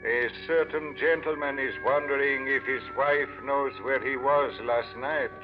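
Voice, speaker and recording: An actor playing a solemn old swami in a 1940s radio mystery, speaking formal, grandiose lines. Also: male voice, mid-range voice, fairly even intonation, unhurried, close to an everyday voice. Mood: grandly mystical. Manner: formal, grandiose, stilted phrasing. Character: solemn old Indian swami mystic with mind-reading powers. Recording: dull vintage radio recording with music.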